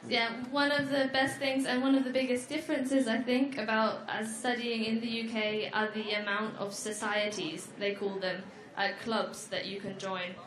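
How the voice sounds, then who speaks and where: Bright-voiced young woman presenting in a hall. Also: fairly high pitch, level tone. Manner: friendly, explains slowly with fillers. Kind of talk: informal presentation at an education fair. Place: large echoey exhibition hall. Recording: echoey hall.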